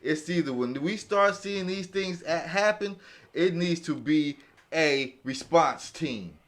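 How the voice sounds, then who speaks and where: A man's voice: fairly high pitch, slow. An American man speaking forthrightly and colloquially in a casual round-table discussion. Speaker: podcast panelist.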